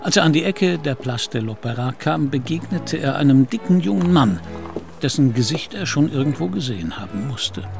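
A man's voice: on the high side, animated, moderate pace, gravelly, over music. A man giving scripted literary narration in a German radio play, telling the story in the third person.

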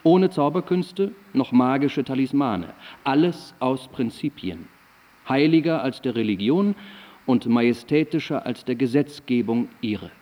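Actor as mellow, wry philosopher in a hall. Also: male voice, medium pitch, expressive, unhurried, natural voice. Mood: grandiloquent. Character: eloquent, wry 18th-century German philosopher reading his letters. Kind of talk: literary recitation in a radio play.

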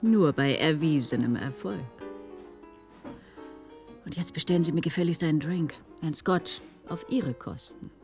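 A woman's voice: sits low, unhurried, a little mellow, soft background music. A woman acting in a German radio play as an assertive, skeptical investigator with a cool manner. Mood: imperious.

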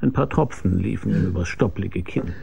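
A man's voice: sits low, normal speed, gravelly, mellow, husky, heavily acted. An actor narrating as a laconic detective, telling his own case with dry wit in a radio drama. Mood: matter-of-fact. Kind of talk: scripted first-person narration in radio drama.

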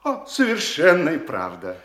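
A man's voice: fairly high pitch, wildly swooping pitch, measured pace, a bit bright, roomy sound, full character voice. An actor playing a timid, fawning provincial postmaster who stammers and bows to his superior. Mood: obsequious. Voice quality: hesitant, stammering, obsequious. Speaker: actor in a radio play. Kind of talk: stage radio play acting.

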